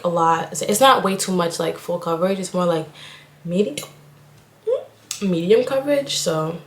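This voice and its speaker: A young woman from Haiti, a nursing student, chatting casually while getting ready and rambling with lots of "like". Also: lowish voice. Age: young adult. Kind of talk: casual get-ready-with-me chat. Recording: echoey room.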